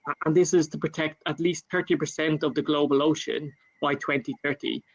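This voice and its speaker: Flat-voiced Irish man, dull lo-fi sound. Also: fairly high pitch. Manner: measured, many fillers and restarts. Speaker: panel speaker for a national government. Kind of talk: panel discussion answer.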